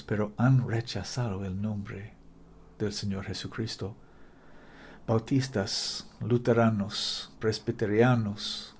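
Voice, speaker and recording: A man preaching a Bible-teaching sermon in Spanish, which he says is not his native language, speaking deliberately and stopping often to quote scripture. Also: medium pitch, darkish tone. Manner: deliberate, stops to quote scripture, some restarts in careful non-native Spanish.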